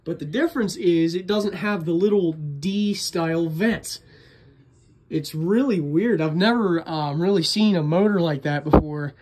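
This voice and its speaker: A man's voice: on the high side, a little slow, darkish tone, breathy edge. A man talking casually and unscripted as a hobbyist vlogger, in a chatty, rambling, enthusiastic way. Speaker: vlogger, ceiling fan collector. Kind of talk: casual unscripted show-and-tell video narration.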